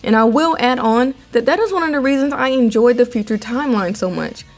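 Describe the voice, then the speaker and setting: A young woman hosting an opinion video as a voice-over, talking in a measured, conversational, explanatory way. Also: a little high, expressive. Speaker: anime commentary video host.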